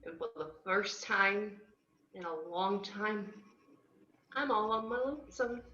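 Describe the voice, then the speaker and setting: A woman's voice: medium pitch, a little slow, pushed and bright. A woman playing a drunk, heartbroken, brash young clown villain in an amateur script read, loose and erratic over a video call. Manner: loose, erratic, swinging from mumbles to whoops. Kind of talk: amateur screenplay table read. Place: home room on a group video call. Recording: roomy, dull video-call audio.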